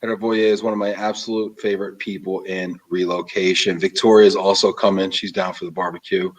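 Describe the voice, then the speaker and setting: A man hosting a casual interview, talking in an animated, emphatic way as he gives advice. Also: low-pitched, a little fast, far from the mic, low-quality recording. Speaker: host. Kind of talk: casual interview and live chat.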